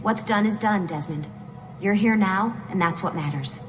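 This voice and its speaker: Actress as woman assassin, video game, earnest, serious, measured, mid pitch, music behind. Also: lightly acted. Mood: reassuring. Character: earnest, determined female assassin recruiting an ally.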